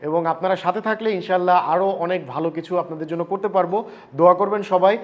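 Male vlogger-consultant, Bangladeshi accent, high voice. Earnest, courteous, conversational, some English mixed in. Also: fast, muffled recording. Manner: earnest, polite, mixes in English words. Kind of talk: casual vlog talk and phone chat.

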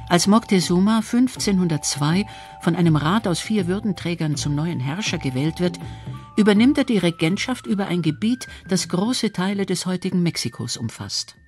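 Male documentary narrator, high register, scripted read, soft music bed.

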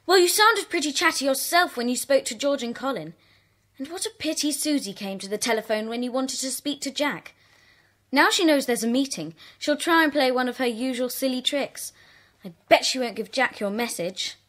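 Young schoolgirl, high, animated, chatty, chiding, children's story drama. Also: normal speed.

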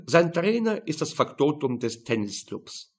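A man's voice: a little high, very animated, unhurried. A man narrating a crime novel audiobook in a dry, matter-of-fact manner.

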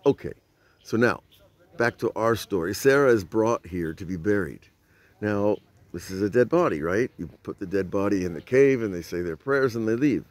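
An older man with a lowish voice gives an informal Torah lesson, filmed on a phone at arm's length outdoors at night. He tells the story conversationally and puts rhetorical questions to his listeners. The sound is dry and close, with little room echo. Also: animated, normal speed, a little mellow. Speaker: rabbi teaching. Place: outdoors at night by old stone walls. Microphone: phone camera at arm's length.

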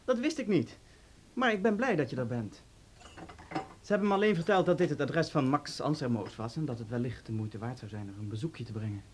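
An actor in a Dutch radio play voicing a suave, talkative police officer with an urbane, polite manner and sly menace. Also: male voice, medium pitch, animated, a little fast, a little hiss or hum, natural voice. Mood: genial, dry.

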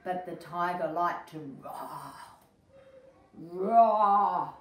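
Story-time host: middle-aged woman, unhurried, expressive read-aloud for little kids, echoey room, slightly distant mic. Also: on the low side, a little hiss or hum. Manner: expressive, emphatic, addressing young children. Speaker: early childhood educator, story-time host. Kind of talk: children's picture book read-aloud. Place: small indoor room.

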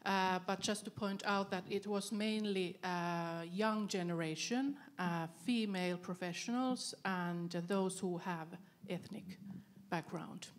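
A woman, speaking thoughtfully as a panelist into a handheld mic, with frequent filler pauses. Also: medium pitch. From Finland. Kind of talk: panel discussion. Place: event stage with panel tables, indoors.

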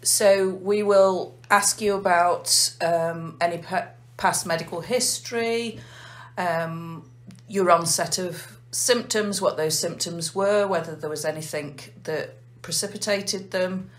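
An English woman, a nurse manager, calmly explaining a heart failure clinic in an interview. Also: on the low side. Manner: measured, methodical explaining.

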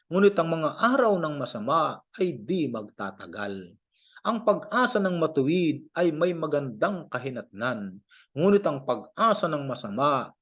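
A Filipino man gives a measured scripture reading in a declamatory manner. His voice sits a little high for a man and rises and falls widely across each verse. The recording is dull and lo-fi. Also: a bit bright, slightly rough. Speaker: Bible reader.

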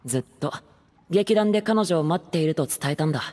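An actor playing an earnest, heartbroken young stage playwright in a Japanese game, speaking in a measured, confessional way. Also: male voice, a little high, lively intonation, darkish tone, big-room sound, a little hiss or hum, lightly acted. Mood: wistful.

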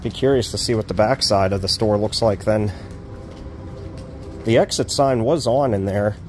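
A low-voiced man gives casual, rambling commentary while walking around an abandoned building. He is filming outdoors on a handheld phone. Faint outdoor noise sits behind his voice. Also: moderate pace, a little mellow. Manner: rambling, thinks aloud with fillers. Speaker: urban exploring vlogger. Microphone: handheld phone camera.

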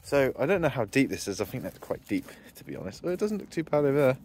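A man's voice: mid-range voice, very animated, quick pace, darkish tone, slight background noise. A man vlogging outdoors on his farm, chatting casually and thinking aloud as he walks. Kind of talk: casual outdoor vlog narration. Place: outdoors on a farm by a ditch and fields.

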